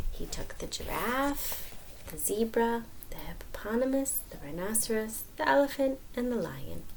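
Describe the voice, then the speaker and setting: A woman reading a children's picture book aloud as a storyteller, expressive, with dramatic pauses and emphasis. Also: a little high, a little hiss or hum, low-quality recording.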